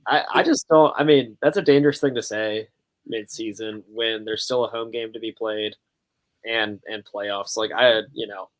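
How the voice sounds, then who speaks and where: Guy on a sports podcast, kinda low voice, just chatting. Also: steady tone, moderate pace, poor audio. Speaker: podcast co-host. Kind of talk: casual sports chat.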